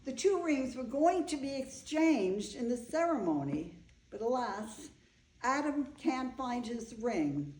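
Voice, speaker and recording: Woman acting slow-spoken older bride, echoey room. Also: on the high side, very animated, a little mellow. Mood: wry storytelling. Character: older bride, wry, frank, wistful storyteller. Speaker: actor. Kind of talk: staged play reading. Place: echoey room, live staged reading.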